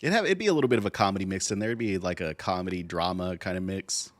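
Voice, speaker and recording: A man's voice: sits low, quick pace, no room sound. A man co-hosting a podcast in a loose, wry, conversational way. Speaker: podcast co-host. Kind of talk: casual group podcast chat.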